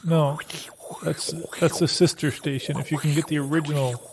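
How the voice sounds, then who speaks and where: A man playing a fussy, halting husband who gives fretful, stammering instructions in an improvised comedy sketch. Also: on the high side, little pitch movement, a little hiss or hum, natural voice. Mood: fretful, insistent. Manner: fretful, stammering, self-interrupting. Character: fussy, halting husband coaching someone on tuning an old TV.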